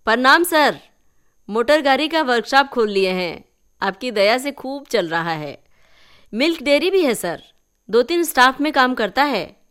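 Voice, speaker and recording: Woman doing a higher-pitched, showy young village man. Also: very animated, measured pace, a bit bright, clean tone, heavily acted. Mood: boastful. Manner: emphatic, boastful, dropping English words. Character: showy, self-important young village man putting on airs.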